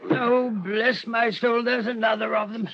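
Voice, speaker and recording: An actor playing a mild, bumbling English priest in a radio drama, fussy and apologetic, halting and repeating himself. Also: male voice, extremely high pitch, steady tone, creaky, crowd noise, exaggerated voice. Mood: flustered, apologetic. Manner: fussy, flustered, halting and repetitive. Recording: dull old radio recording, heavy train noise.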